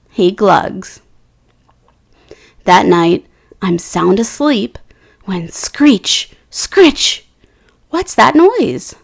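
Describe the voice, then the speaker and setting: Slow, gravelly-voiced woman reading to kids. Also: mid-range voice. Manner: slow, expressive storytelling with voiced quotes. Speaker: children's book reader. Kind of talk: reading a picture book aloud to kids.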